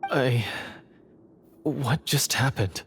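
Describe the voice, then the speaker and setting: An actor playing a troubled, bitter young man from a line of monster hunters, speaking in a halting, earnest, dramatic video game style. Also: medium pitch, a little hiss or hum. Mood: dazed. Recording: background music under the voice.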